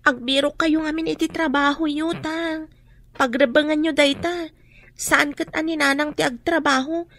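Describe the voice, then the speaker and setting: Radio drama eldest daughter: very high voice, young, earnest, worried, responsible. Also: female voice, fairly even intonation, normal speed, fairly bright tone, no room sound. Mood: reproachful, worried. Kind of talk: Ilocano radio drama acting.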